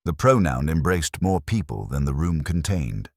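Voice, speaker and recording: A man reading a scripted mystery story in a measured, literary and dryly wry manner. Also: rumbling low, very animated, gravelly, no room sound, studio quality. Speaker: narrator. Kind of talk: scripted audiobook-style narration of a mystery story.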